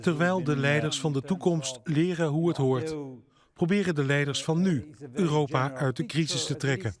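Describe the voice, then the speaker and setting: A Dutch man narrates a news report in scripted style. His voice sits a little high for a man, with a clear bed of crowd noise behind it. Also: moderate pace.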